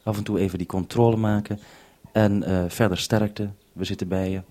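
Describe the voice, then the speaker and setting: A man presenting over a two-way radio link, talking in a measured, procedural way. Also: fairly low pitch, steady tone, mellow.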